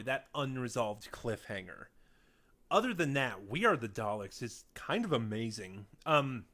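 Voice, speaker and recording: A man with a mid-pitched voice delivers a casual review straight to his viewers. He speaks without a script, halting as he finds his words. He leans on key points and lets his asides trail off more quietly. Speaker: audio drama reviewer on a video channel.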